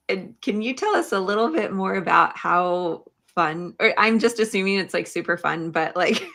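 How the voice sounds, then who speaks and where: Bright-voiced woman host with creaky edges. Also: mid-range voice. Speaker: podcast host. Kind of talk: casual livestream interview.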